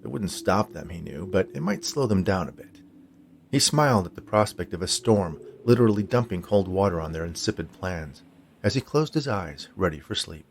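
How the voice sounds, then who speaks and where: A man's voice: low voice, expressive, moderate pace, vocal fry, a little hiss or hum. A man reading his own short story as scripted narration.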